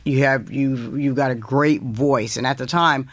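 A woman in her fifties with a deep voice, a guest author telling stories from her student days in an animated way. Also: measured pace, no room sound. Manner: animated, quotes others in lively voices, laughs while telling. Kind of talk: casual storytelling interview.

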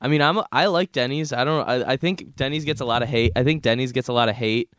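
A man's voice: medium pitch, fast, a bit bright, no echo, studio quality. A man in his late teens co-hosting a college radio show, chatty, laughing often and stumbling over restarts. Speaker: radio co-host. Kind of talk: casual on-air chat. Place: radio studio. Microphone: studio mic.

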